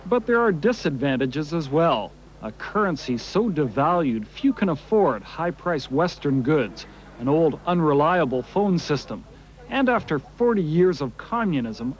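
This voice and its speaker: A man narrating a television news report in a measured broadcast style. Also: a little high, very animated. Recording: slightly dull broadcast audio with faint noise.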